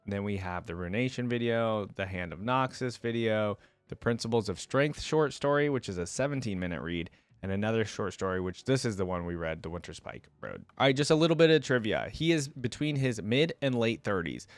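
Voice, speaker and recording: A man's voice: lowish voice, expressive, a little fast, no echo. A man hosting a reaction video, reading game lore aloud and dropping in informal, casual asides. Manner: informal asides between read passages.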